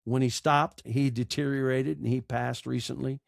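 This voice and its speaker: A man's voice: mid-range voice, level tone, normal speed, vocal fry, dry recording, studio quality. A middle-aged American man giving candid, conversational interview answers in an earnest, emphatic, emotional way. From United States. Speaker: interview guest, audiobook narrator and podcaster.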